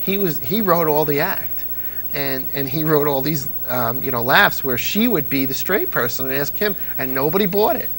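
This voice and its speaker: A man being interviewed as a fan of old-time radio, telling lively anecdotes in a relaxed conversational way. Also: a little high, normal speed, a little hiss or hum, rough recording. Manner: animated storyteller, mimics voices in anecdotes. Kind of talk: casual anecdotal chat.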